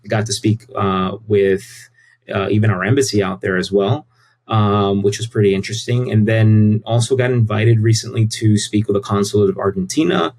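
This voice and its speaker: A man's voice: fairly low pitch, fairly even intonation, moderate pace, a little mellow, rough recording. A man, talking casually and informally as an interview guest, often repeating phrases for emphasis. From United States. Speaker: interview guest, tech company CEO.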